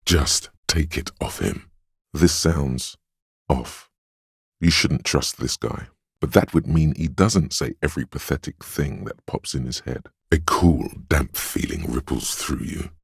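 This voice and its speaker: Very deep, stern voice of willpower. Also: male voice, gravelly, slightly rough, no room sound, clean studio sound, heavily acted. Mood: dry, cautioning. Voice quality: very deep, solemn. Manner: measured, grave, admonishing, dryly wry. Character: stern, protective inner voice of willpower, male, middle-aged. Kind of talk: scripted game narration in second person.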